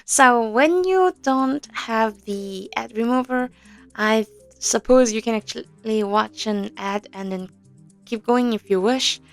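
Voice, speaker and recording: A young woman talks slowly and unhurriedly, explaining a game in a casual walkthrough. She hesitates often, filling gaps with ums and small nervous laughs. Faint music sits behind her. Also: a little high. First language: Korean. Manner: hesitant, many fillers, soft nervous laughs. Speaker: audio game tutorial presenter.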